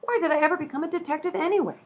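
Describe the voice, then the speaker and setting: A woman's voice: very high voice, rapid-fire. A woman playing a scatterbrained stranded detective in a comedy podcast sketch, with exaggerated delivery. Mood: grumbling, baffled. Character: Rose, ditzy stranded female detective. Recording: dull lo-fi audio.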